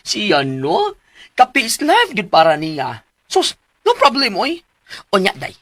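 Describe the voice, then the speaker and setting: An actress playing a breezy, teasing young college classmate in a radio drama. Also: female voice, mid-range voice, huge pitch swings, takes it slowly, fairly bright tone, no room sound, clean studio sound, full character voice.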